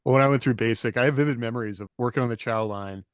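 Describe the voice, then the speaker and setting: A man's voice: mid-range voice, rapid-fire, clear, resonant tone, no room sound, studio quality. A man hosting a podcast in a casual, informal, anecdotal chat style. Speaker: podcast host.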